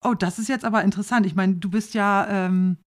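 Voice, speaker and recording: A woman's voice: medium pitch, steady tone, fairly quick. Woman interviewer in her forties. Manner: engaged, hesitant restarts. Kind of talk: conversational interview.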